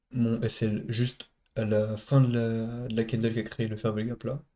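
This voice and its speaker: A man's voice: mid-range voice, warm tone. A man with a head cold and a stuffy nose, casually talking viewers through his trade with lots of hesitations. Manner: casual, many hesitations, talks to viewers as buddies. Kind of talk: casual screen-recorded trade recap.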